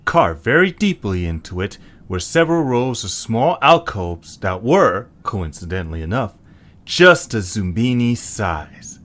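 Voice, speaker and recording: A man's voice: fairly low pitch, very animated, a little slow, fairly bright tone. A man narrating a scripted story.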